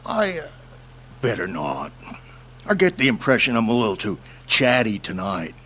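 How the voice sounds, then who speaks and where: An actor playing an eccentric, monster-obsessed man in a fiction podcast, with a husky voice and grandiloquent, bookish phrasing. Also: fairly low pitch, wildly swooping pitch, moderate pace, a bit bright, exaggerated voice. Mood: grumbling, guarded.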